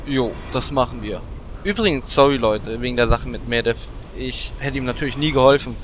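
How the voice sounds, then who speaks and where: A man's voice: mid-range voice, a little fast, natural voice, rough recording. A man plays a sulky adventurer forever excusing himself in a fantasy parody radio play. Faint noise lies behind the voice. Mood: sheepish. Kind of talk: parody fantasy audio drama.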